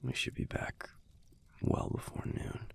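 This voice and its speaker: A man playing a gruff but tender young werewolf boyfriend in an intimate audio roleplay, with an American accent and a soft, husky, laid-back murmur. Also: in a whisper, lightly acted. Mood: relaxed, soft. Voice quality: breathy, husky, gravelly low voice. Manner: laid-back, murmured, halting, casual swearing. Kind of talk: intimate audio roleplay, speaking softly to the listener.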